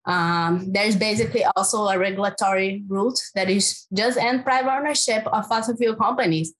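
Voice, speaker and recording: Woman, Brazilian accent, researcher answering at length, mid-pitched, earnest, restarts often. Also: steady tone, normal speed, a bit bright, low-quality recording. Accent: Brazilian Portuguese. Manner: earnest, thinking aloud with fillers and restarts. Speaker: climate policy researcher. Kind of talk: interview answer.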